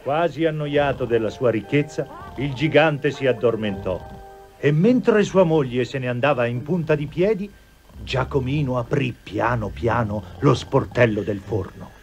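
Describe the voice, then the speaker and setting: A man's voice: mid-range voice, lively intonation, measured pace, a little mellow. A man reading scripted storybook narration for a cartoon fairy tale. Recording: dull lo-fi TV audio, music behind.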